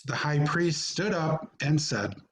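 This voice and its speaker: A man narrating scripture from a script in a deliberate, solemn manner. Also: a little high, expressive, a little hiss or hum. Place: remote recording on a video call. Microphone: video call.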